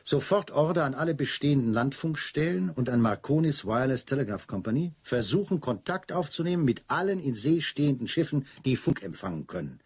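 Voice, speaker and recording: Police chief, radio play, very rough husky tone, impatient, wry, commanding. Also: male voice, mid-range voice, sing-song, brisk, hardly any pauses. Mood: brisk, impatient. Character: impatient, sardonic male Scotland Yard police superior. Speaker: radio drama actor. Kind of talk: German radio play dialogue.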